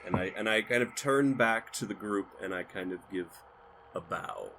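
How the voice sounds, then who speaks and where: A man's voice: lowish voice, normal speed, fairly bright tone, a little hiss or hum, rough recording. A man narrating his character's actions as a player in a tabletop roleplaying game.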